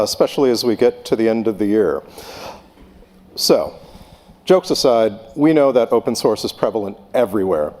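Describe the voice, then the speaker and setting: A man moderating a conference panel, introducing speakers with a joking, relaxed manner. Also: fairly low pitch. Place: large conference hall. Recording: long hall reverb.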